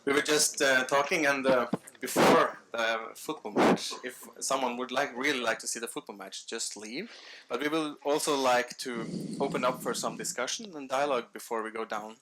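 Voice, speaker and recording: A man's voice: medium pitch, moderate pace, hardly any pauses, heavy background noise. A man moderating an open discussion at a conference, informal and hesitant with fillers. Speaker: session moderator. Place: conference hall.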